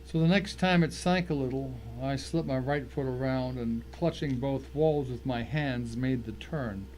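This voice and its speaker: A man's voice: medium pitch, a little slow, darkish tone, lightly acted, low-quality recording. A man playing a tense, determined man who narrates his own ordeal in a dramatic first-person story, with noise behind him. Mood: tense, suspenseful. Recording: clear background noise.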